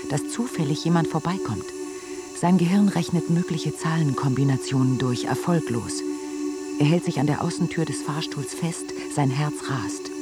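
A man's voice: on the high side, close to an everyday voice. A man acting as a narrator in a radio drama, telling a nightmarish script in tense, clipped sentences. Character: urgent male narrator reciting a nightmarish film script. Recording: loud music behind the voice.

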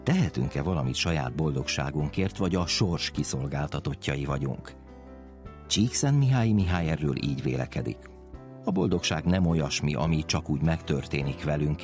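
A man's voice: extremely low pitch, expressive, a little mellow, soft background music. A man presenting in the style of a scripted narration.

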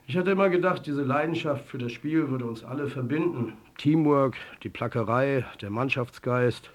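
A man's voice: medium pitch, moderate pace, natural voice. An actor playing a wry, defiant male football coach in a radio drama, with a dry, conversational delivery. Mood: candid, defiant. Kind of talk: German radio drama acting.

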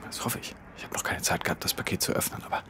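An actor playing an eager engineer in a radio drama, speaking breathily in confidence. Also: male voice, rapid-fire, lightly acted. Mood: secretive, excited. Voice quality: breathy, half-whispered. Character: eager, conspiratorial male rocket engineer. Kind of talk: hushed radio drama acting.

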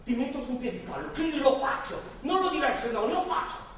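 A male stage actor playing a volatile born-again reformed drunk, pleading haltingly with his wife in a theatrical, impassioned way. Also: very high voice, big pitch swings, full character voice. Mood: pleading, desperate. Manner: halting, imploring, swinging from hushed to shouting. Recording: echoey theatre hall, distant mic, faint noise.

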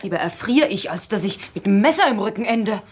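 A jittery, stubborn housemaid in a radio mystery. She talks bluntly and defiantly in a husky, medium-pitched voice. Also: lively intonation, brisk. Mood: defiant, alarmed. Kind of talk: German radio crime play acting.

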